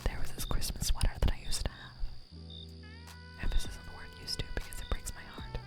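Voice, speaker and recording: A woman live streamer whispering softly to her viewers, playful and giggly, rambling with many fillers, close to the microphone. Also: very high-pitched, level tone, moderate pace. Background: music playing.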